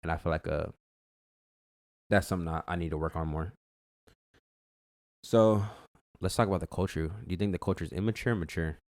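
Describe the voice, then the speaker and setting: A man's voice: low-pitched, a little fast, pauses often, a little mellow, dry recording. A young man co-hosting a podcast, talking casually and loosely with lots of fillers and restarts. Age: young adult. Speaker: podcast co-host. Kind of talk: casual chat.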